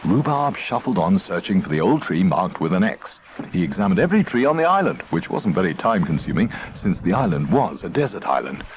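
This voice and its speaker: A man narrating a children's story in an expressive, playful manner. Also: low voice, a little fast. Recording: faint noisy old tape transfer.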